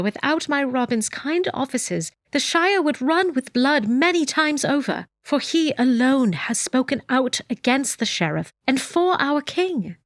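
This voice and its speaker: A woman's voice: sits high, dry recording, studio quality. A woman playing a defiant, devoted young maiden of medieval England in an audio drama, earnest and melodramatic in archaic English.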